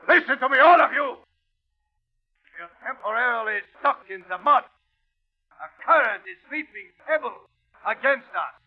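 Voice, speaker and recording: A stern, scornful submarine captain, high in voice and used to giving commands. It is old radio drama with a dull, muffled sound. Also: male voice, animated, moderate pace, long pauses. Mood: barking, commanding. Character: stern, short-tempered German U-boat captain. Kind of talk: 1950s radio drama acting.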